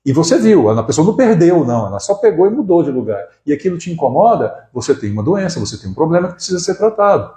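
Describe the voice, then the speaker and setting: A man's voice: on the high side, sing-song, quick pace, few pauses, warm tone, reverberant. A man in his forties from Brazil, an acupuncturist giving a casual live talk, often restarting words and tagging sentences with "né?". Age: 40s. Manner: conversational, frequent "né?" tags and restarts. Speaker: acupuncturist hosting a live stream.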